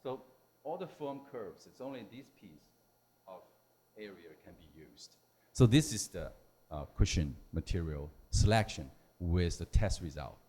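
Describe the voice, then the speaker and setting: A man who is a non-native English speaker giving a technical lecture in halting, simple phrases. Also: low-pitched, expressive, mellow. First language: not English. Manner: halting, hesitant delivery with simple broken phrasing. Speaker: technical presenter at a symposium.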